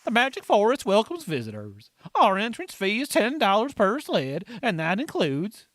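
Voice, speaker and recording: A woman's voice: mid-range voice, wildly swooping pitch, moderate pace, dry recording. A woman playing a friendly forest ranger in a radio play. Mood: official, then surprised. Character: friendly forest ranger working a toll booth.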